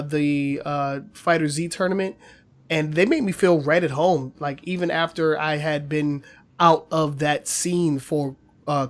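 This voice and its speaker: A man co-hosting a casual gaming news podcast, chatty with many fillers and slightly nasal from a cold. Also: a little high. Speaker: podcast co-host.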